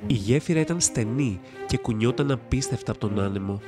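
A male storyteller narrates a children's fairy tale in scripted style. His voice sits at a middle pitch for a man. Prominent instrumental music plays behind him throughout. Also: lively intonation.

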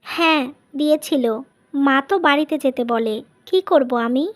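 A woman's voice: high-pitched, measured pace. A woman voicing a plaintive yet trusting young wife in a scripted cartoon. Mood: accepting.

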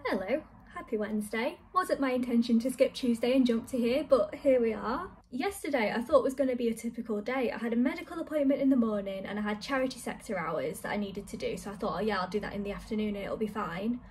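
Young woman, vlogger, casual chat, upbeat, laughs often, slightly high pitch, small flat. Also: fast, hardly any pauses, slight background noise. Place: small flat living room and kitchen, indoors.

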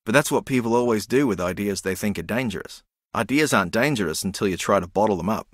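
Dreamy young guy, game character, lively intonation, meandering, earnest, confused, dry close recording. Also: on the low side, fast talker, dry recording. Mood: philosophical. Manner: musing, naive, meandering. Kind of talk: video game voice acting.

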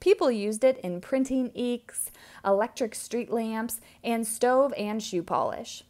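A woman reading a children's picture book aloud in an expressive, theatrical way, stretching out key words for emphasis. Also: fairly high pitch, takes it slowly. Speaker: children's storybook reader.

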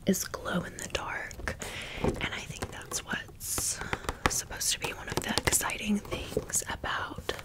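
A woman hosting ASMR in a breathy, unvoiced whisper, chatting informally and gently as she shows off items. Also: slow pace. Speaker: ASMR video host. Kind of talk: whispered ASMR product show-and-tell.

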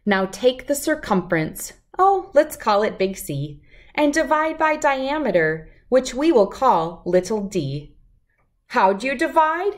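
A middle-aged woman reading a rhyming picture book aloud to children in an expressive, deliberate, lilting way. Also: high voice. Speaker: children's read-aloud host.

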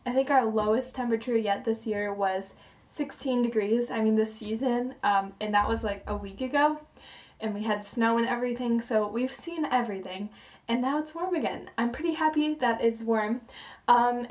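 A woman's voice: on the high side, darkish tone. A young woman chatting upbeat to a phone camera held at arm's length, with many fillers, indoors at home and outside at a beach. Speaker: vlogger. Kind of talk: casual vlog chat. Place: home bathroom and kitchen, sunny beach outdoors.

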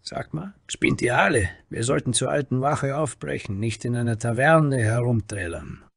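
Fussy, indignant paladin played by a man, scolding, raised pitch above narrator's, dry fantasy recording. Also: expressive, moderate pace, gravelly, breathy edge, dry recording. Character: indignant, comfort-loving paladin. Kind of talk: fantasy audio story character.

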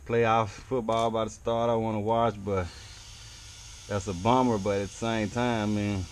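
A man's voice: fairly low pitch, little pitch movement, moderate pace, a little hiss or hum. A young man working as a HVAC technician, talking casually and encouragingly while he works in a walk-in cooler, recorded on a head-mounted camera. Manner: laid-back, chatty, encouraging. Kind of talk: casual work vlog talk.

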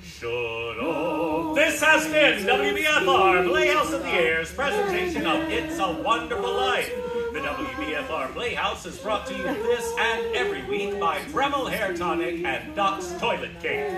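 A man's voice: high voice, huge pitch swings, normal speed, loud music behind the voice. A man playing an upbeat 1940s radio announcer on a stage. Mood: brisk, cheery. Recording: echoey hall, distant, clear noise.

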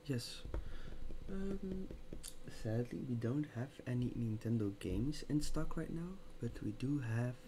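A young man speaking softly in a hushed ASMR roleplay as a store clerk, with pauses between phrases. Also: medium pitch, lively intonation, a little hiss or hum. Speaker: ASMR creator playing a game store clerk. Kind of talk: soft-spoken ASMR roleplay. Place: small room at a desk, indoors.

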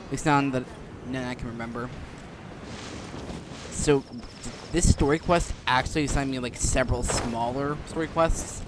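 A man's voice: medium pitch, moderate pace, pauses often, background noise. A man giving casual, rambling commentary while playing a video game. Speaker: gaming commentator. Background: game music.

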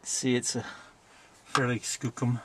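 A man with a Canadian accent giving a casual, unscripted hands-on tutorial in a plainspoken, halting way. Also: mid-range voice, flat delivery, heavy background noise. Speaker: DIY video presenter.

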